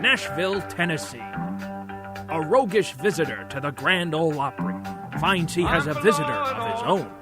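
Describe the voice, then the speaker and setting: A man playing a portentous narrator, delivering scripted audio drama scene-setting in deliberate phrases. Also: on the high side, expressive, natural voice. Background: music.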